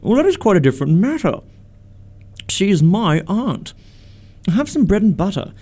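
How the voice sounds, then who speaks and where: A man acting in a radio play as a witty, idle young gentleman in a comedy of manners, with arch, languid, ornate phrasing. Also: sits high, very animated, normal speed. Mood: mock-stern, lofty. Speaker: amateur radio theatre actor.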